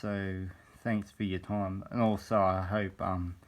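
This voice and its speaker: Man, 20s, very low voice, croaky with a cold, hesitant casual vlog, phone camera. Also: flat delivery. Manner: hesitant, meandering, many pauses. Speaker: vlogger. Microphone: handheld phone camera.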